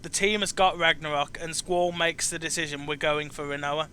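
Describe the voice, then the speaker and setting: A man in his twenties with a fairly high, forceful voice talks casually as an interviewer. His delivery is clean and resonant. He is earnest and tends to restate a thought before finishing it. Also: little pitch movement, normal speed, smooth. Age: 20s. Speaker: interviewer and show host. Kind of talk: casual chat about video games.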